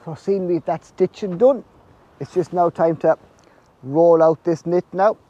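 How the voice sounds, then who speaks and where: Irish farmer, mellow voice, slightly high. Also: male voice, a little slow, muffled recording, soft background music. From Ireland. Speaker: snail farmer presenting his farm vlog. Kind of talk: casual outdoor vlog explanation. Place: outdoors under netting on a farm.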